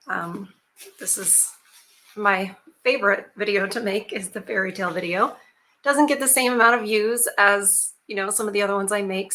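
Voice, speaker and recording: A woman reading an old fairy tale aloud for her channel, mixing steady storytelling with chatty asides to her viewers. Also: mid-range voice. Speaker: YouTube storyteller.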